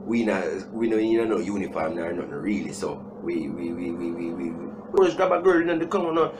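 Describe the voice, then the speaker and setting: A young man with a Jamaican accent chatting casually as a video host, animated and joking, repeating himself for emphasis. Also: low-pitched, fairly quick, noisy background. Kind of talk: casual storytime chat. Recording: music playing behind the voice.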